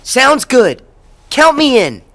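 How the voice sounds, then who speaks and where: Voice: high voice, big pitch swings, takes it slowly, a bit bright, heavily acted. An actor playing a plucky, earnest teenage boy hero in a video game, with a headstrong manner. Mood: determined, puzzled.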